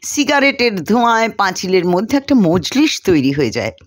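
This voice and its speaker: A woman reading a short story aloud in an expressive, deliberate storytelling manner. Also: mid-range voice. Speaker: story reader. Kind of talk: scripted narration of a short story, dramatized dialogue.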